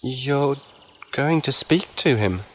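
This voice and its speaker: Casting: gentle, lovelorn musician played by a man, medium pitch, earnest and timid, faint background noise. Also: lively intonation, slow. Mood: nervous. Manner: earnest, wistful, hesitant. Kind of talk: audio drama acting.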